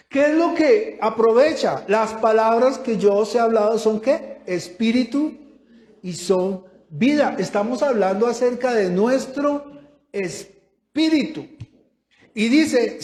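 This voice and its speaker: High-voiced preaching man in an echoey hall. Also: a little mellow. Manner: preaching with rhetorical questions, rising emphasis. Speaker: pastor. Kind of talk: sermon. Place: church hall. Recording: echoey church room.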